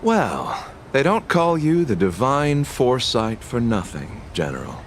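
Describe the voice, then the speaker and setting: A man voicing a suave, enigmatic traveling merchant in a video game, polite and theatrical with gentle irony. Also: medium pitch, very animated, a little slow, slight background noise. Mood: wry.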